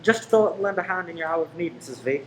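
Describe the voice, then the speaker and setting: An actor as a cheeky, quick-talking greengrocer. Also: male voice, fairly high pitch, animated, a bit bright. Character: cheeky, meddling male greengrocer, flirtatiously helpful. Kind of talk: radio play acting. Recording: echoey room, faint background noise.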